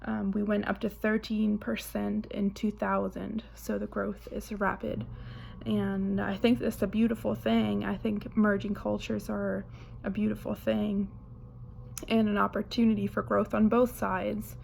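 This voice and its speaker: Slow, flat woman, gravelly. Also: mid-range voice, slight background noise. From Germany. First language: German. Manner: hesitant, frequent "um" pauses. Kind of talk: spoken reading response.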